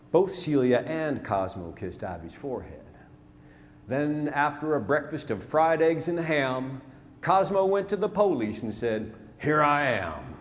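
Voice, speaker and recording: A man recites rhyming narrative verse on stage as a storyteller, dramatic and deliberate, swelling and then hushing his phrases. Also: mid-range voice. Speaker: storyteller performing a narrative poem. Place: large theatre, live stage performance. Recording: live theatre stage, long reverb, noisy.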